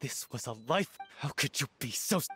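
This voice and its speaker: A man's voice: a little high, lively intonation, moderate pace, sharp tone, faint music behind. A man playing a hot-headed male stage performer in a video game, with a confrontational, dramatic delivery. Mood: furious, accusing.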